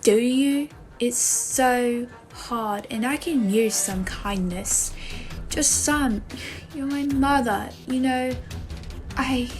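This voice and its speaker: A woman's voice: a little high, takes it slowly, faint music behind. A woman playing a frustrated, hurt daughter confronting her mother in a dramatic monologue, pleading and emphatic. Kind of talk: dramatic monologue for a voice acting demo reel.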